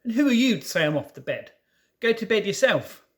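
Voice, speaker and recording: Man playing a bad-tempered little brother, scornful, snappy, slightly high pitch, animated, storybook. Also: a little fast. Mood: snappish. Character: grumpy, bad-tempered younger schoolboy.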